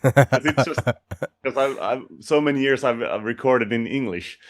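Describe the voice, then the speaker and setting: A man's voice: mid-range voice, moderate pace. A man whose first language is Finnish, a musician guest speaking English with a Finnish accent in a casual, friendly interview with restarts and light laughs. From Finland.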